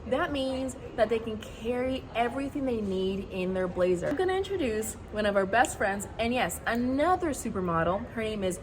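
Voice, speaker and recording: A woman from Colombia, a brand co-founder, giving an energetic product pitch to camera in a warehouse. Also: moderate pace, few pauses, a crowd in the background. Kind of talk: upbeat product pitch video.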